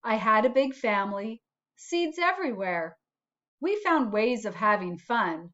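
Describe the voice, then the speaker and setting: A woman voicing a self-declared bad little sunflower seed in a storybook, confessing with deliberate dramatic phrasing. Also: fairly high pitch, sing-song, fairly bright tone, lo-fi sound. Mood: wistful, dramatic.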